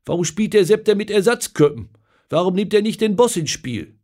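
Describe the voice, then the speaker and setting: A man shouting as a raging football fan. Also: high-pitched, lively intonation, a little fast, pitched above the performer's own voice. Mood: outraged. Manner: shouting, ranting dialect-tinged outbursts. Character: furious middle-aged man football fan.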